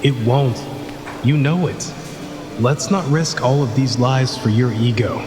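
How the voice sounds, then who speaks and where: A man's voice: mid-range voice, measured pace, close to an everyday voice. A man acting an urgent, bitter, confrontational colleague in a sci-fi audio drama. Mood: urgent, angry. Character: bitter, accusing male colleague in a sci-fi disaster. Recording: long echoing reverb under music.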